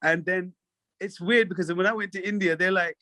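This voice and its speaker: A man, a singer chatting as a guest in an interview, animated, with lots of fillers. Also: high-pitched, brisk, no echo, clean studio sound. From England. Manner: animated storyteller, laughs often, many fillers. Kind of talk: casual interview chat.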